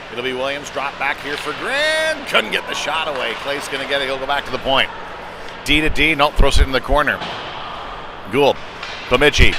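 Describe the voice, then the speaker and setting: A man doing informal, conversational live play-by-play commentary of a hockey game. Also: on the high side. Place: indoor community ice rink. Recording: noisy ice arena ambience.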